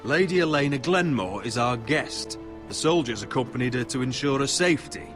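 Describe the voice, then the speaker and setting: An actor playing a proud, strained young lord in a video game drama, speaking in a formal, earnest manner. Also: male voice, mid-range voice, expressive, brisk, music in the background, natural voice. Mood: guarded, stern.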